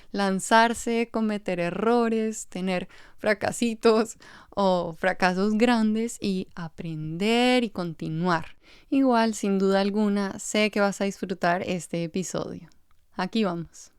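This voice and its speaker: A woman hosts a podcast, her voice smooth and clear. She talks in a reflective, candid way. The sound is dry and close to the mic. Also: mid-range voice, no room sound. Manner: warm, reflective, openly self-critical. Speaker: podcast host. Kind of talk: conversational podcast intro and interview.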